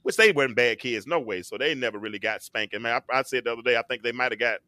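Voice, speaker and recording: A man hosting a casual talk show, animated and often repeating and restarting his phrases, with some bass in his voice. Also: racing, no room sound, clean studio sound. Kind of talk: casual chat.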